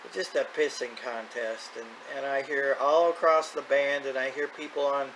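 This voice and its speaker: Male, 50s, mid-pitched, folksy unscripted vlogger delivery, audible background noise. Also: steady tone, normal speed. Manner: plain-spoken, folksy, unscripted. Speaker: radio hobbyist video host. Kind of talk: casual rambling vlog talk.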